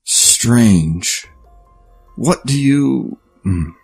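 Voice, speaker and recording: An actor playing a confused, desperate male leader in a science fiction audio drama, speaking in a halting, strained way. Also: medium pitch, lively intonation, gravelly, darkish tone, heavily acted. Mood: disbelieving. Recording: music under the voice.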